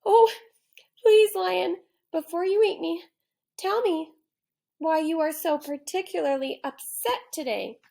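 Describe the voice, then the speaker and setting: A woman's voice: very high voice, a little slow, gravelly, warm tone, voice pushed up, heavily acted. A woman voicing a polite, clever little boy adventurer in a children's storybook. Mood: pleading. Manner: pleading, helpful, polite. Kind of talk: children's storybook character reading.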